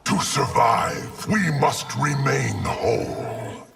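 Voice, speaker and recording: A man playing a grave video game construct made of many souls, speaking in slow, solemn, gravelly declarations. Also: mid-range voice, animated, exaggerated voice. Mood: solemn, resolute. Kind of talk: video game character dialogue. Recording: loud music under the voice.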